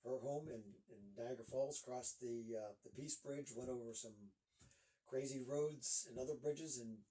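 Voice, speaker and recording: A man's voice: medium pitch, fairly even intonation, normal speed, warm tone, distant mic, rough recording. A man in his sixties from Northeast Ohio, a guest author chatting casually in an interview and rambling with lots of fillers.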